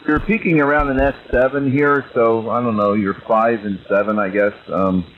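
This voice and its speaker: A man's voice: mid-range voice, fairly even intonation, normal speed. A man making a casual amateur radio call, hesitant and self-effacing, heard over a radio signal. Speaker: amateur radio operator, caller. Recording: muffled ham radio signal with static. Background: faint radio noise.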